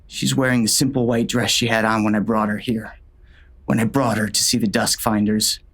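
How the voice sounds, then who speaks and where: Grim cowboy sheriff for an audiobook, creaky low voice, angry and hurting, menacing. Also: male voice, little pitch movement, moderate pace, fairly bright tone. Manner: intense, menacing. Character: grieving, furious hard-bitten western sheriff and father. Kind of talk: first-person audiobook narration, dramatic.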